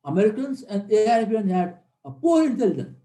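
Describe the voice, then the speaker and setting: Lively man lecturing. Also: high voice, a little fast. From Nepal. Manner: earnest, repeats key points for emphasis. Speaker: military lecturer. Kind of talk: classroom lecture. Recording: roomy echo.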